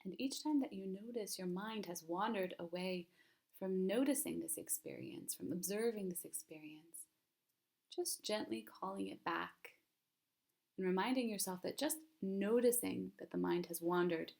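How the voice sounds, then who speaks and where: A woman leading a guided mindfulness meditation as a teacher, speaking gently and calmly, with unhurried phrasing and long pauses. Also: mid-range voice, gravelly.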